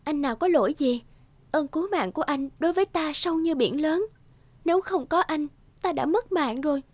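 A young cartoon princess, played by a woman, gentle and devoted. Her voice is extremely high and tender, full of feeling, recorded dry. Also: fairly quick, dry recording, close to an everyday voice. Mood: grateful. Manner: tender, emotional. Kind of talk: animated folktale dubbing.

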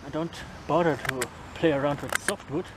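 A man's voice: on the high side, fairly even intonation, moderate pace. A man in his thirties demonstrating a knife outdoors in a forest, chatting casually and chuckling between remarks, recorded on a camera mic. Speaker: bushcraft vlogger. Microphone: handheld camera mic. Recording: outdoor camera audio with background noise. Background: forest ambience.

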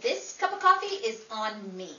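A young woman playing a brisk, declamatory presenter in a 1950s-style radio show. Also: fairly high pitch, sing-song, sharp tone, dull audio. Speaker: actress and award presenter. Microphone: far camera. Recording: echoey room, distant mic, background noise.

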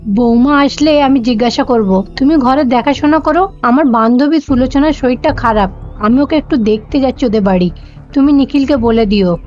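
A woman's voice: high voice, normal speed, a little mellow, natural voice. A woman voicing a nosy, suspicious middle-aged mother-in-law in a cartoon, questioning and fault-finding. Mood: grumbling. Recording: dull lo-fi sound with music.

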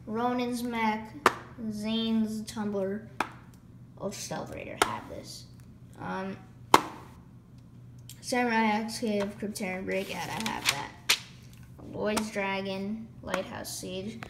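Hoarse young woman rambling through a catalog. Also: mid-range voice. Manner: rambling, lists items quickly with filler words. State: losing her voice, hoarse. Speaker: YouTuber flipping through a toy catalog. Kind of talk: casual off-the-cuff vlog commentary. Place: indoors, room. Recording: echoey room with clear background noise.

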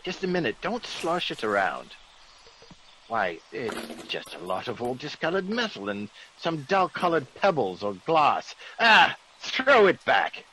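An actor playing a fussy, sceptical British gentleman in a vintage radio drama, genteel, blustery and exclamatory. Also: fairly high pitch, huge pitch swings, unhurried, exaggerated voice. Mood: flustered. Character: fussy, excitable, sceptical English gentleman of the manor. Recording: noisy vintage radio recording.

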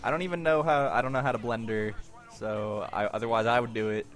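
A man's voice: mid-range voice, fairly even intonation, quick pace, faint music behind. A man streaming a video game and chatting casually with friends. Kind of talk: casual gaming chat.

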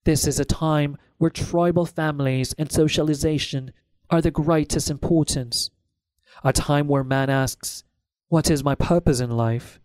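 A man's voice: fairly high pitch, fairly even intonation, measured pace, darkish tone, smooth, dry recording, poor audio. Man narrating a dry-sounding audiobook. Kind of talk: scripted first-person fiction narration.

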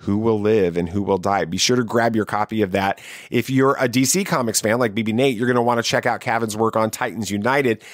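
Dry-sounding male podcast host, lowish voice. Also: fairly even intonation, brisk, few pauses, dry recording. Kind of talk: podcast interview and sign-off narration.

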